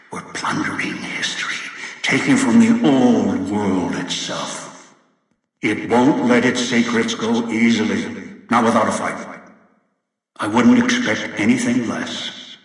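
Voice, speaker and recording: An actor playing a cold, obsessive old male villain in a video game, giving orders in a deliberate, menacing, condescending way. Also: lowish voice, a bit bright. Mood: intense, scheming. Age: older. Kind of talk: video game voice acting, monologue. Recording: very echoey, long reverb, distant.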